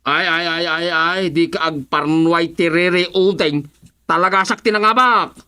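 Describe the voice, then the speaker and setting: A man acting a boastful, excitable village husband in a radio comedy, with an Ilocano accent. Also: a little high, unhurried, clear, resonant tone, no room sound, studio quality. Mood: indignant.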